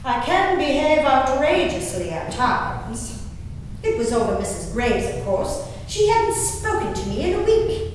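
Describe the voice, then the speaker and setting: A woman playing an excitable, willful young gentlewoman in a dramatic stage monologue, expressive, with emphatic pauses, recorded from far off in an echoey hall. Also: high voice. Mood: indignant. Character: Elizabeth Simcoe, excitable, willful young English gentlewoman diarist. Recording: distant, echoey hall recording, faint noise.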